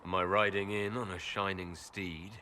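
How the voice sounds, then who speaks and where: A curious fantasy-game hero with dry humour. The voice is deep yet crisp and forceful in tone. Slight background noise is present. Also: male voice, little pitch movement, moderate pace. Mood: curious, wry. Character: curious, drily joking male fantasy hero. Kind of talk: video game dialogue acting. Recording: faint game ambience behind.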